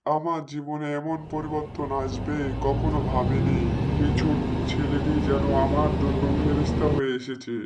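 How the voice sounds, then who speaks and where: A man playing a humble, destitute old beggar in a cartoon moral story, speaking slowly in a sorrowful, subdued way. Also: on the high side, level tone, heavy background noise, close to an everyday voice. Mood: moved, humble. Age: older. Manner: slow, sorrowful, subdued delivery. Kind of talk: dramatised moral story dialogue.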